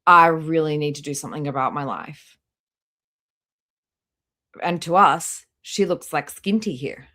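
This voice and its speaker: Low-pitched blunt woman streamer. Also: a little slow, long pauses, pushed and bright. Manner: blunt, skeptical, swears. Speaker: live stream host. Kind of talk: casual live reaction commentary.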